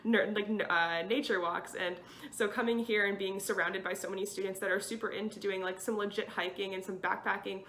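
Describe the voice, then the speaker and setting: A woman's voice: medium pitch, steady tone, brisk, fairly bright tone. A young woman chatting casually and enthusiastically to her phone camera as a student vlogger. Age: young adult. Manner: upbeat, enthusiastic. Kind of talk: casual vlog talk to camera. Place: small kitchen, gas station, car and outdoor trail. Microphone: phone at arm's length. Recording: faint background noise.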